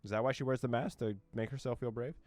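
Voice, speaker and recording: A man's voice: mid-range voice, steady tone, fast, a little mellow, no room sound. A young man reading a visual novel aloud and translating its lines, with playful jokes and frequent laughter. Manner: playful, joking, laughs often. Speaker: gaming YouTuber.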